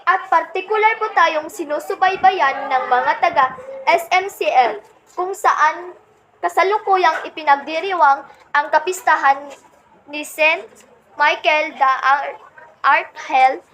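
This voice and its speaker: Field reporter in a radio play, played by a young woman: high-pitched, courteous, measured delivery, faint noise behind. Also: fairly bright tone, low-quality recording. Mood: polite, deferential. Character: polite live radio field reporter covering hell.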